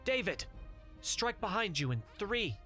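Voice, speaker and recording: A man's voice: high voice, big pitch swings, a little slow, bright, forceful tone, soft background music, pitched above the performer's own voice, full character voice. A man voicing an earnest, determined young male mage in an audiobook, speaking with urgency. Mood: urgent, commanding. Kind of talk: audiobook character acting.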